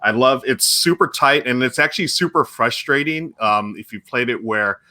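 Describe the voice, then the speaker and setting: Male stream host, bright voice, eager and chatty. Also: mid-range voice, normal speed. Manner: enthusiastic, chatty. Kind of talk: casual livestream chat.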